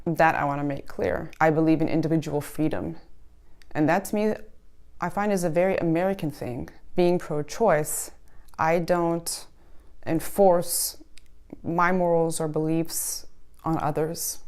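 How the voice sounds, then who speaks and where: A woman in her thirties with a low, steady voice, speaking in measured, reflective sentences. German was her first language, and a slight German cadence still colors her speech. She grew up in California and talks earnestly about her family. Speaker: interviewee. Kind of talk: personal testimony to camera.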